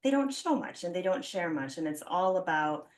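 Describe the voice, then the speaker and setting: A woman's voice: fairly low pitch, expressive, normal speed. A woman in her thirties from the Philippines, hosting a casual interview podcast and chatting reflectively with many "like" fillers. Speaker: podcast host. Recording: voice a bit distant from the mic.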